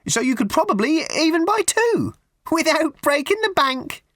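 A man voicing a fussy older woman selling raffle tickets, slow, prim and theatrical. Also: extremely high pitch, sing-song, fairly bright tone, no echo, clean studio sound, voice pushed up, exaggerated voice. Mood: prim sugary.

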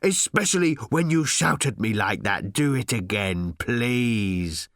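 A man's voice: medium pitch, lively intonation, measured pace, a bit bright, dry recording, studio quality. A man voicing a small, servile flying robot that gushes breathlessly with delight in a storybook reading. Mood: rapturous. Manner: breathless, squealing, moaning with delight.

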